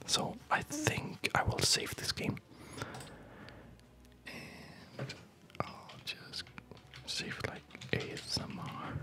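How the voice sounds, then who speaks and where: A man doing a whispered ASMR gaming commentary, rambling and often stopping to restart his thoughts, close to the microphone. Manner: rambling, halting, self-interrupting. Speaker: ASMR gaming video host.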